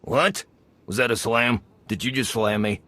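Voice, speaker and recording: An actor playing a vain, bumbling scoundrel gang leader in a video game, pompous and theatrical with dramatic pauses. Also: male voice, mid-range voice, sharp tone, no echo. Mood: flustered, defensive. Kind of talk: video game character acting.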